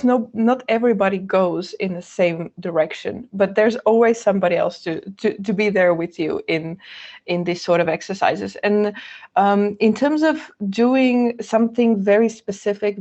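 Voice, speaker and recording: A woman from Bulgaria, a student leader, answering questions in an online townhall in a measured, thoughtful way. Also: medium pitch, a little mellow. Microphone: video call. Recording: muffled video call audio.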